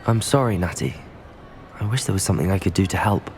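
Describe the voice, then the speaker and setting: A man playing a kind, supportive teenage wizard, speaking gently and politely in video game dialogue. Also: low voice, fast, a little hiss or hum, close to an everyday voice. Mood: gentle concern.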